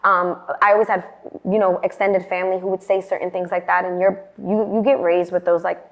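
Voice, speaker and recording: A woman's voice: lowish voice, flat delivery, a little fast, muffled recording. A woman in her late twenties from the Bronx with a New York accent, chatting as an interview guest and filling her talk with "like" and "you know". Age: late 20s. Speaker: guest, fashion influencer. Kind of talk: casual interview conversation.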